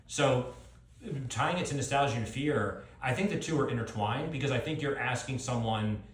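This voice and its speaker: A middle-aged man talking off the cuff as an author at a live event, reflective and often circling back to rephrase his points. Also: mid-range voice. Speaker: author speaking at a book event. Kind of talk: thoughtful extemporaneous talk and audience Q&A. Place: live book event with an audience. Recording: roomy, echoey live event sound.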